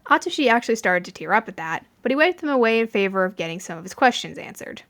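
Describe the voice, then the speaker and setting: A woman's voice: mid-range voice, very animated, fast talker, fairly bright tone. A woman narrates a piece of fan fiction in audiobook style. She tells the story as a podfic reader and acts out its dialogue.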